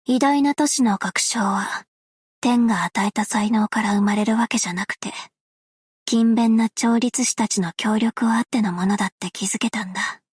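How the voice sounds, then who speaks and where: An actress playing a gentle, self-effacing young woman in a video game, soft and whispery, with a hushed, measured, wistful delivery. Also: mid-range voice, husky, no echo, clean studio sound. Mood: calm, sincere. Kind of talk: game character voice lines.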